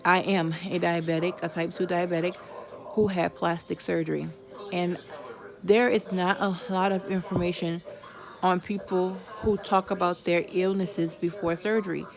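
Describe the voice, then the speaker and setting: A woman's voice: fairly low pitch, vocal fry. A woman of forty giving viewers a candid personal update, chatting casually with religious asides. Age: 40s. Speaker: vlogger.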